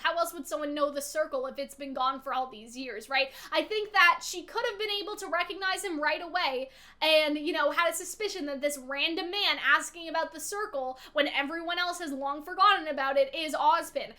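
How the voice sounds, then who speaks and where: A woman's voice: sits high, sharp tone. A woman giving casual, opinionated story commentary, emphatic and exasperated, swearing freely and often checking in with the listener. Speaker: vlogger, story analyst.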